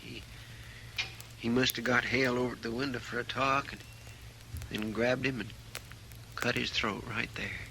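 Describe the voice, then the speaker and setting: Very noisy old radio recording, man playing homespun American western sidekick, medium pitch, deferential, hesitant. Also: flat delivery, moderate pace, pauses often, natural voice. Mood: uneasy, hesitant. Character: folksy, deferential marshal's helper, loyal sidekick. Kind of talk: 1950s radio drama acting.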